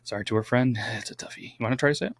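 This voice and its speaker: A man's voice: mid-range voice, rapid-fire, dry recording. A man co-hosting a casual true-crime podcast, reading from his notes with stumbles and wry asides. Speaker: podcast co-host.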